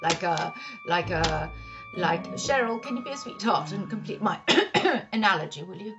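An actress playing a doting, scatterbrained, haughty pantomime stepmother, gushing and halting in a theatrical way. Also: lowish voice, lively intonation, dull audio. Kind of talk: comic pantomime acting. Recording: music behind the voice.

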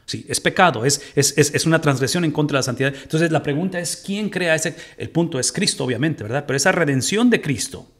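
A man's voice: on the high side, a little fast, few pauses. A middle-aged Mexican man with a Mexican Spanish accent, teaching a Bible study from a home room and putting rhetorical questions to his listeners. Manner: animated, gestures while teaching, asks the audience rhetorical questions.

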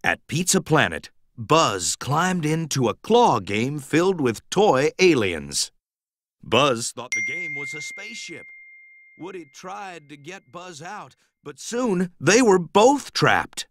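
A man reading a children's storybook aloud in an expressive, dramatic, emphatic storytelling manner. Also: on the high side, slow pace, dry recording. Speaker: storybook narrator.

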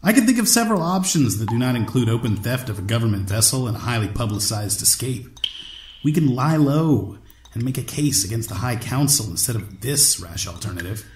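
An actor playing a wry, loyal confidant in a radio drama, measured and slightly formal, the cautious voice of reason. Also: male voice, mid-range voice, animated, close to an everyday voice. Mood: earnest, cautioning. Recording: echoey, faint background noise.

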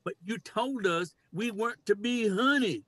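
Domineering leader of men, played by an older man, high-pitched and declamatory, dry sound, stage reading. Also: a little slow, a bit bright, dry recording. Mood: urgent, accusing. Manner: declamatory, commanding. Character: domineering man who proclaims human rule over animals. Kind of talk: dramatic play reading. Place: cluttered home room, video call. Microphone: computer mic on video call.

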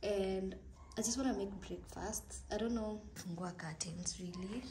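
A young Kenyan woman with a raspy morning voice rambles through a relaxed vlog in her kitchen, often sinking into a soft near-whisper. The room bounces her voice back with a clear echo, and a faint background hiss sits under it. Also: mid-range voice, a little slow. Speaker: vlogger. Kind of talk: casual vlog chat.

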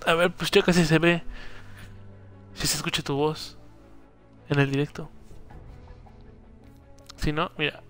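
A man's voice: fairly high pitch, steady tone, a little slow, long pauses, a bit bright, faint music behind. A young man, casually streaming a game and teasing his friend. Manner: teasing, playful insults. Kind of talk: casual gaming livestream banter.

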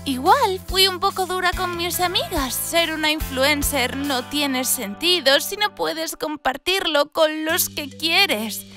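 A woman voicing a sensitive, girlish influencer puppy in a cartoon, expressive and emotive, with a Castilian Spanish accent. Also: high-pitched, slow, few pauses. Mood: regretful. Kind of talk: animated cartoon dub. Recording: studio voice under background music.

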